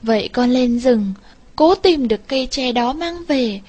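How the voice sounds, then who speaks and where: A woman's voice: high-pitched, lively intonation, a little slow, darkish tone. Woman playing a sly rich old landowner. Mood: falsely friendly. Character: scheming, falsely friendly rich old male landowner.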